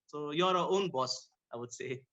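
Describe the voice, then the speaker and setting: The recording is slightly dull and low in fidelity. A man from Kuwait speaks in a somewhat high voice. He answers conversationally, as a medical guest in an interview. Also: moderate pace, pushed and bright. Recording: dull lo-fi audio.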